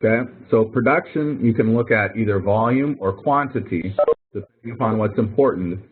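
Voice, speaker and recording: A man's voice: on the low side, moderate pace, breathy edge. A man working as a college professor, explaining things step by step in an informal online tutoring session, with emphatic repetition. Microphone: online call microphone. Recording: thin phone-like online conference audio.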